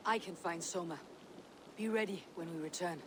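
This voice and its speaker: A woman playing a bold, steady Viking warrior, direct and terse, in a game cutscene. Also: fairly low pitch, moderate pace, background noise, close to an everyday voice. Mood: direct, questioning. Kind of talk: video game cutscene acting.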